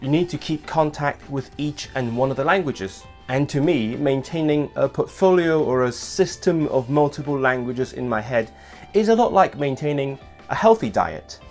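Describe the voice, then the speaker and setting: Animated young man vlogging at home. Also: mid-range voice, normal speed, faint music behind. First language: not English. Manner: animated, gestures with hands while talking. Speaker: language vlogger host. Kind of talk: casual scripted vlog talk to camera. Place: small home room with desk.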